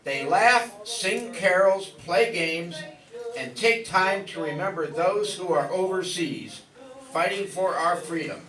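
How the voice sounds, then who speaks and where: A man's voice: sits high, slow pace. A man playing a sentimental narrator, reading a scripted wartime holiday tale for a radio play. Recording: echoey hall, distant mic, crowd sounds.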